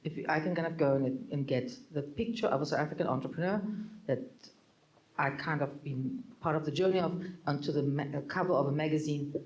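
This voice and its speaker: German accent guy, kinda high voice, jokey vc panel chat. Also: brisk, darkish tone, rough recording. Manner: jokey, conversational, hesitant fillers. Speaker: venture capital partner, panelist. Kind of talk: panel discussion.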